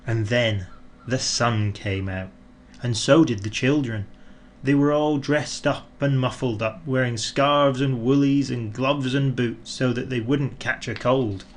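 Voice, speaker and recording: A man narrating a children's storybook in an expressive, deliberate way, drawing out words for emphasis. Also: medium pitch, a little hiss or hum. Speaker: audiobook narrator.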